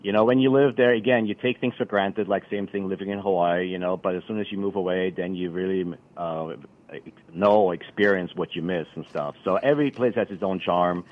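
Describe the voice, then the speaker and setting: A middle-aged man from Austria, a country club manager, chatting casually as a radio guest with a relaxed, rambling manner. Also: low voice, brisk. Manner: relaxed, rambling, many fillers. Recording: dull lo-fi radio broadcast.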